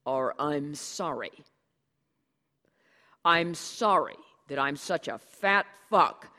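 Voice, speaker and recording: A man's voice: on the high side, animated, slow, sharp tone, long reverb tail, heavily acted. A reader voicing a proud, bitter father who launches into a cutting, sarcastic tirade, in an audiobook. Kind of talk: audiobook character dialogue.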